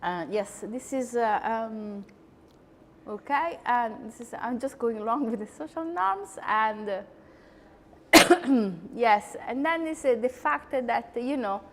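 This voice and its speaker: Italian-accented woman host, high bright voice, chatty, long reverb. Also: slow pace, a little hiss or hum. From Italy. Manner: chatty, animated, frequent asides. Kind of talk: lecture-style talk.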